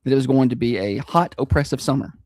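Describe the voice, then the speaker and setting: A man with a high-pitched Southern accent, hosting a morning radio show in casual, self-deprecating chat. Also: quick pace, a little mellow, no room sound, studio quality. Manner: chatty, self-deprecating, laughs easily. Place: radio studio.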